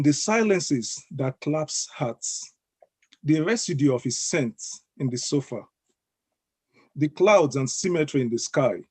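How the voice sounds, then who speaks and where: A man with a croaky voice from the cold, reading his own poem slowly and deliberately on an online call. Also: a little high, a little mellow, dry recording. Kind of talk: poetry reading. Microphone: online video call audio.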